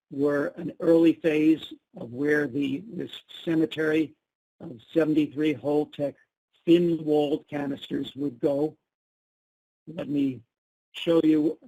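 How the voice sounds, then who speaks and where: A man's voice: fairly high pitch, mellow, breathy edge, low-quality recording. A man with a Brooklyn accent presenting slides at a public meeting, explaining each picture step by step. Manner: explains slides step by step, asks for plain terms.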